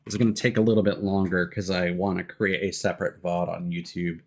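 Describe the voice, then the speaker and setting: A man casually commentating on a livestream, thinking aloud in a rambling way with hesitant pauses. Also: low-pitched, flat delivery, vocal fry, darkish tone. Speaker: game livestreamer.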